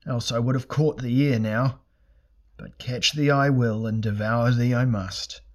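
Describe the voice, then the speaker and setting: A man's voice: mid-range voice, steady tone, a little slow, lightly acted. A man voicing a huge, ancient burning god in a fantasy story, hungry and imperious, with solemn archaic proclamations. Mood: grim resolve. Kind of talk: dramatic fantasy audiobook character voice.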